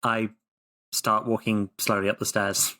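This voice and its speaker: A man's voice: fairly low pitch, little pitch movement, measured pace, pushed and bright. A man playing a tabletop game, rambling and flustered as his character makes excuses. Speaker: player. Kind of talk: casual tabletop play in character.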